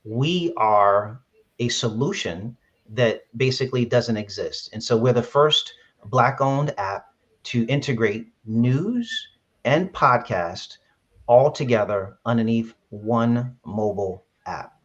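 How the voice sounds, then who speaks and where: A man's voice: mid-range voice, slow pace. A man from Brooklyn, an app founder, answering interview questions earnestly with many fillers and restarts. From Brooklyn, New York. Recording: dull lo-fi sound.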